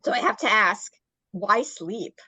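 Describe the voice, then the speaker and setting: A woman hosts a podcast interview in a casual, chatty style. Her voice is bright and forward, at an average pitch, with a very dry sound free of room echo. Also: expressive, a little slow, slightly rough. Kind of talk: casual chat.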